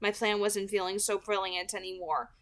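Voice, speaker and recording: A woman's voice: medium pitch, level tone, normal speed, fairly bright tone. A woman reading a novel aloud as an amateur audiobook narrator, with expression.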